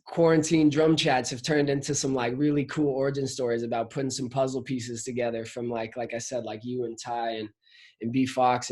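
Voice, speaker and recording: A man's voice: medium pitch, darkish tone. A man in his late twenties hosting a casual chat, talking in loose slang peppered with "man" and "like". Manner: slangy, says "man" and "like" constantly. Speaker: host of a drummers' chat show.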